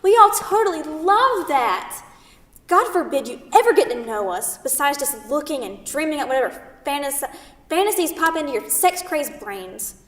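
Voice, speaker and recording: A woman in a staged play reading, playing a sharp-tongued, sarcastic young woman who scolds emphatically. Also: sits high, sing-song, normal speed. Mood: indignant, scolding. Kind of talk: staged reading of a comedic two-person play. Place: theatre stage, picked up from a distance. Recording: echoey theatre hall, distant microphone.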